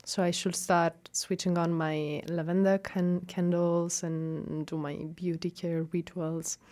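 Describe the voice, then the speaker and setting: A young woman from Italy with an Italian accent, a guest researcher talking casually in an interview, animated and full of fillers and restarts. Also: lowish voice, unhurried. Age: young adult.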